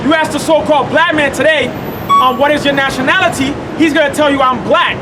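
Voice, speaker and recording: A man's voice: extremely high pitch, a little fast. A man teaching scripture informally, repeating himself and checking in with "okay?" and "all right?". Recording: loud traffic noise outdoors.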